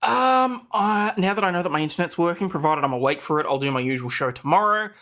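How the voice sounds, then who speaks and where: Male, slightly high-pitched, bright punchy voice, casual livestream guest, dry humour. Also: expressive, fast talker. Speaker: co-host guest with own channel.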